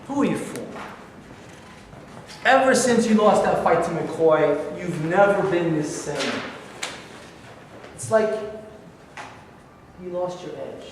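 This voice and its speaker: A man reading as a pushy middle-aged uncle, coaxing and full of small hesitations, in a high voice. The sound is roomy, with a long echo from a bare rehearsal studio heard through a far camera. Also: animated, takes it slowly, long pauses, background noise, heavily acted. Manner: persuasive, hesitant with fillers. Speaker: actor in a staged reading. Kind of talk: staged play reading.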